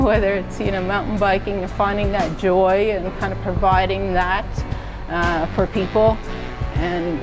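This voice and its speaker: A middle-aged woman from Norway talks through her work and her love of sports in an easygoing interview, full of "uh" and "so." Her voice sits at a middle pitch. Music plays clearly underneath her. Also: rough recording. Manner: conversational, many "uh" and "so" fillers, laughs lightly. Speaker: university fitness and wellness director. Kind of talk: relaxed interview answers.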